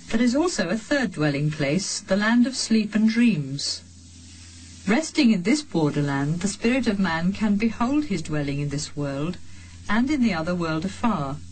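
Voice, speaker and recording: A woman reading a sacred text as a solemn audiobook, with measured, deliberate phrasing and pauses between phrases. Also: mid-range voice, slight background noise, low-quality recording. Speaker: reader of a sacred text translation.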